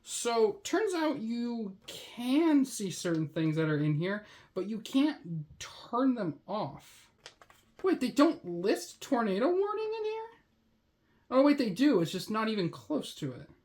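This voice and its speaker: A woman's voice: medium pitch, big pitch swings, takes it slowly. A woman reviewing a gadget in a casual unboxing commentary, with a sarcastic, wry way of talking.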